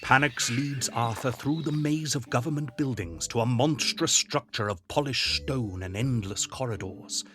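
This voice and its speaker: A man's voice: mid-range voice, moderate pace, few pauses, sharp tone. A man narrating an audio drama as a scripted literary storyteller.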